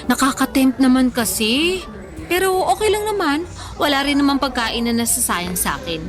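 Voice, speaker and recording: Casting: sensitive, whiny young Filipina foodie, high voice, radio drama, music bed. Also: female voice, animated, moderate pace. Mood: playful, defensive. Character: sensitive, food-loving plump young woman, playful and stubborn. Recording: music bed under the dialogue.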